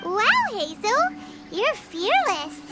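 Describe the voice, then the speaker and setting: Casting: pint-sized floating game sidekick, extremely high squeaky childlike voice, excitable, skittish, swooping delivery over music. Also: female voice, slow, exaggerated voice. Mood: excited. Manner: refers to self in third person, exclamatory. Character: tiny childlike floating companion, excitable, easily spooked, chatty. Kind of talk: video game voice acting. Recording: background music under the voice.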